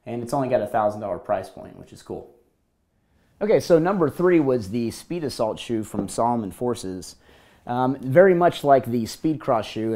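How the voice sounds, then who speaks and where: A man in his thirties with a hoarse voice, hosting a casual gear review vlog from a hotel room and balcony. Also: lowish voice. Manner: energetic, talks with his hands. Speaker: host.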